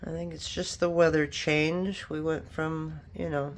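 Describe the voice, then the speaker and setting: A craft vlogger who sounds a little sniffly and stuffed up. The speaker talks casually through a hands-on project and drifts into chatty asides. The voice sits at a middling pitch. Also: little pitch movement, unhurried, a little hiss or hum. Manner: chatty and rambling, with frequent asides. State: sniffly, stuffed up from allergies or a cold. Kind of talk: casual tutorial chat while working.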